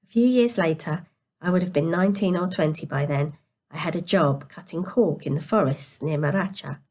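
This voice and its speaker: Quiet, tired woman reading a story, lowish voice. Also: normal speed. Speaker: storyteller reading a novel aloud. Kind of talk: scripted story narration.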